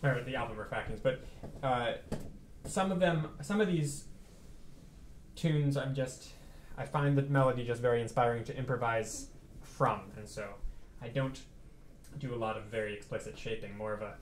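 A man's voice: mid-range voice, normal speed, pauses often, roomy sound, a little hiss or hum. A man in his thirties, a pianist, talking casually to a small house concert audience between tunes, thoughtful, with many fillers and restarts.

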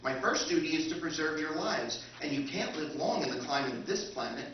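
A courteous, relentless machine speaks in formal, measured sentences, as a man acts it out on stage. The voice sits a bit high, and it comes across dull and distant, with a hall's heavy echo around it. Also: slight background noise, natural voice. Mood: calm, formal. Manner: formal, measured, calmly menacing. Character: polite, relentless robot ship caretaker. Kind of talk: live old-time radio recreation on stage. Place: hotel function room stage with audience. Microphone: stand mic, far camera. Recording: echoey hall from far off, dull.

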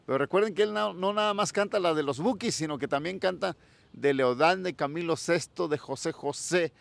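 A Mexican man hosting a casual street interview in a town plaza, friendly and sending shout-outs to viewers, holding a handheld mic. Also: on the high side, brisk, creaky, no echo. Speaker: interviewer, channel host. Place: town plaza outdoors at dawn.

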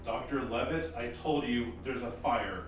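A man's voice: mid-range voice, measured pace, close to an everyday voice. A man playing a stiff, insistent official in a radio drama. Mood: insistent, formal. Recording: very echoey, distant, faint music.

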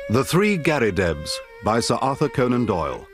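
A man announcing the title and cast of a radio drama in a measured, formal way. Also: medium pitch, big pitch swings. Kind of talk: scripted announcement. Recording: music under the voice. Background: violin music.

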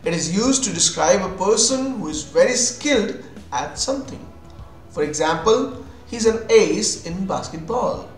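A man's voice: high-pitched, expressive, measured pace. A man with an Indian accent giving a scripted English vocabulary lesson, explaining in an instructional way. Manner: instructional, defining terms then giving examples. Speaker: English teacher. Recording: roomy echo with music behind.

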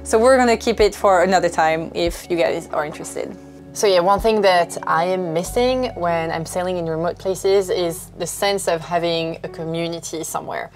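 A woman's voice: on the low side, normal speed. A woman in her thirties talking casually and candidly to camera, explaining things as a vlogger on a lapel mic. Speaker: sailing vlogger. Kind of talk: casual vlog talk to camera. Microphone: clip-on wireless lapel mic. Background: background music.

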